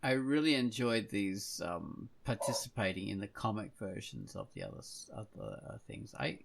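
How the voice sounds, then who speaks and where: A man's voice: fairly low pitch, very animated, moderate pace, vocal fry, noisy background. A man hosting a podcast as a casual chat, rambling along in a jokey way. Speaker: podcast host.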